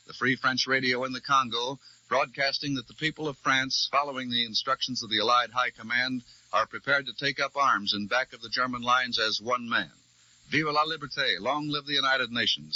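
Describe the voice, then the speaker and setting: An American man reading scripted news as a formal, measured radio correspondent in a 1940s broadcast. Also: medium pitch, sharp tone, no echo. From United States. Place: radio newsroom. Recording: dull vintage 1940s radio broadcast recording.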